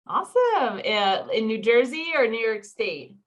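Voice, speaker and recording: A woman's voice: a little high, very animated, measured pace. A woman hosting a podcast interview, bubbly and enthusiastic with her guest. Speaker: podcast host.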